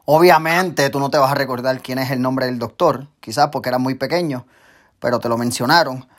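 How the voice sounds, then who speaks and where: A man's voice: mid-range voice, brisk. Guy hosting a podcast, hyped up, talks up his guest. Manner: excitable, hypes his guest. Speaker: podcast host and coach. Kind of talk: casual interview chat.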